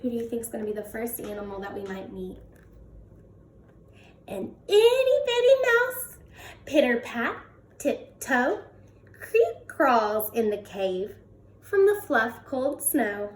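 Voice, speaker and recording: Lady reading a kids picture book, high lively voice, kinda far from the mic. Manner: expressive, drawn-out words, asks listeners questions. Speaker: children's read-aloud host. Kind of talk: animated picture book read-aloud for young children. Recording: roomy echo, distant from the mic.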